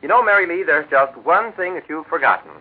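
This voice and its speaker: An American man sells a sponsor's product in chatty 1940s radio style. His husky voice rises and falls freely in a folksy patter. It comes through as a narrow, phone-like old broadcast. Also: fairly high pitch, animated, a little fast. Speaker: radio announcer and sponsor's pitchman. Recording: vintage 1940s radio broadcast, telephone-like band.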